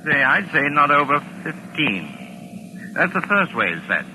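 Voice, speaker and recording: An actor playing a wry, cool-headed doctor in a 1940s radio thriller, speaking in an urbane, calculating manner. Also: male voice, a little high, big pitch swings, takes it slowly, noisy background. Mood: dry, unruffled. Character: wry, cool-headed male doctor held among gangsters. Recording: old 1940s radio broadcast recording.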